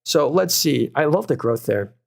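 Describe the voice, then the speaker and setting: A man's voice: on the high side, animated, vocal fry, darkish tone. A young man hosting a live stream, reading viewers' comments and talking through stock picks in a casual, upbeat way with lots of fillers. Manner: casual, upbeat, quick asides, frequent fillers and restarts. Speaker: stock market livestream host.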